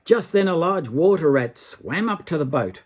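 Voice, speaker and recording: Husky older man reading a storybook. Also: a little high, animated, moderate pace. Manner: dramatic, with asides to the young listener. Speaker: storybook reader. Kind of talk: expressive children's story reading.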